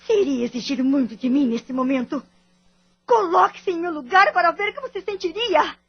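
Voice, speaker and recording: A woman's voice: very high-pitched, lively intonation, moderate pace, highs cut off. A woman acting in an audio drama with a Brazilian accent, playing a bitter, wounded wife and devoted mother in formal, melodramatic phrasing. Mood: anguished, angry.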